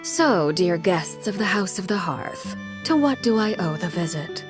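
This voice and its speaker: A commanding, enigmatic woman from a video game, with a lowish voice. She is measured, formal and dryly sardonic, with music faintly behind her. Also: lively intonation. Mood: cool, formal. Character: cool, commanding, enigmatic woman crime-family leader.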